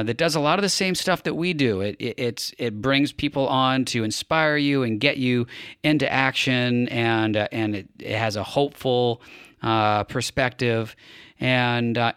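A man hosting a podcast in a conversational way, often pausing partway through his phrases as he speaks. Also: medium pitch, a bit bright, smooth, dry recording, clean studio sound. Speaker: podcast host.

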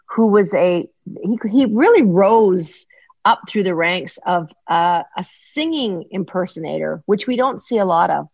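A woman's voice: mid-range voice, no echo. Canadian woman host, chatty, rambling, laughing, dry dull recording. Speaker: podcast host. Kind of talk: casual interview chat.

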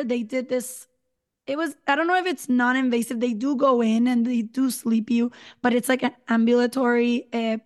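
A woman in her thirties with a hoarse voice, telling her own story as a guest in a podcast interview, chatty and full of "you know" and "like". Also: on the high side. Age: 30s.

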